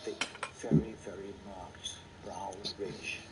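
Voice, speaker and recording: A man's voice: low voice, flat delivery, slow, crowd noise, low-quality recording. A man speaking as a surgeon in consultation, explaining things with emphatic repetition. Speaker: plastic surgeon. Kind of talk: professional consultation talk. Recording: very loud background noise.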